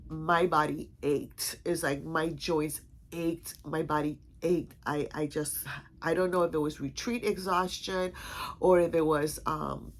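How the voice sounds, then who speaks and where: A woman's voice: sits low, steady tone, a little slow. A woman vlogger with a stuffy, congested voice, chatting casually in a rambling way full of restarts. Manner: rambling, many restarts and fillers. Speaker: vlog host. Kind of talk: casual chat.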